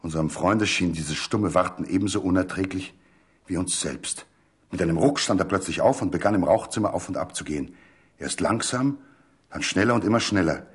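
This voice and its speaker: A man reading a literary story as a scripted dramatic narration, measured and full of suspense. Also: low-pitched, slightly rough.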